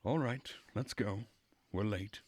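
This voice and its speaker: A man's voice: fairly low pitch, slow pace, darkish tone. A man voicing a bossy, earnest woman who leads a summer camp in a visual novel, in a lecturing manner. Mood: brisk. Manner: scolding, lecturing.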